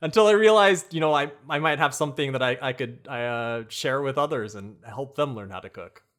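A man's voice: mid-range voice, brisk, fairly bright tone. A man, a guest on a podcast, giving long, thoughtful answers about cooking in a casual chat. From United States. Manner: thoughtful, rambling explanations. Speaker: guest, Japanese cooking teacher.

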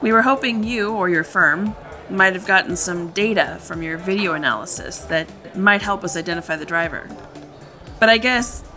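A procedural, tactful female detective in an audio drama. Her voice sits on the low side with a bright, forward edge, over faint music. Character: businesslike female police detective, tactfully probing. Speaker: police detective. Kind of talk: naturalistic audio drama dialogue.

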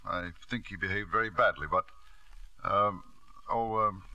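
Forceful, smooth middle-aged lord. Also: male voice, low-pitched, a little slow, no room sound, dull audio, exaggerated voice. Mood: flustered, insistent. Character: domineering, smooth British lord, middle-aged father of grown son. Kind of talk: 1950s radio drama acting.

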